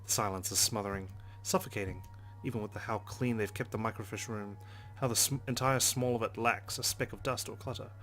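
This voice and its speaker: A man's voice: lowish voice, steady tone, a little fast, a bit bright. A man reading visual novel narration aloud dramatically as a let's play host, with brief casual asides. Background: game background music.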